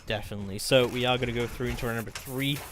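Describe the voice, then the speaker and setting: A man's voice: mid-range voice, steady tone, racing. A man giving live play-by-play esports commentary in a flowing, detailed running description.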